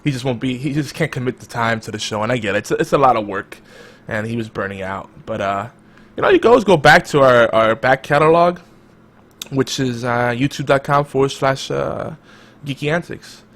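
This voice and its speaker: A man hosting a casual podcast chat, talking in a hyped-up, loud, energetic way. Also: mid-range voice, brisk. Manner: energetic, self-described loud and hype. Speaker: podcast host.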